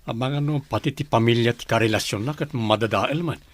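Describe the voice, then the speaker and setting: A man's voice: medium pitch, fairly quick, close to an everyday voice. Man playing an earnest Filipino family friend. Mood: concerned. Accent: northern Filipino. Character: concerned male family friend, earnest confidant. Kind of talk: radio drama acting.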